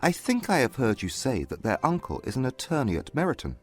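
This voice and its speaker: A man playing a proud, reserved young gentleman in a period audiobook drama, husky and formal. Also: medium pitch, huge pitch swings, fairly quick, soft background music. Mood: cool reserve.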